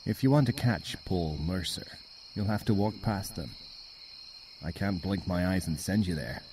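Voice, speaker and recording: An actor playing the mocking ghost of a dead man in a noir radio drama, with a husky, cryptic, taunting delivery. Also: low-pitched, normal speed, darkish tone, slight background noise, lightly acted. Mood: sardonic, eerie.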